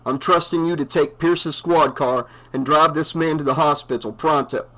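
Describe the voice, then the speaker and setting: A man's voice: a little high, moderate pace, pushed and bright, low-quality recording. A man voicing a weary small-town sheriff who speaks firmly. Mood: stern. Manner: firm, commanding.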